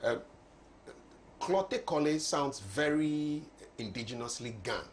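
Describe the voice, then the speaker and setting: A Ghanaian man hosting an interview, putting probing questions haltingly and repeating words as he goes. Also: on the high side, slight background noise. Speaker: interviewer, show host. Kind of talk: conversational interviewing.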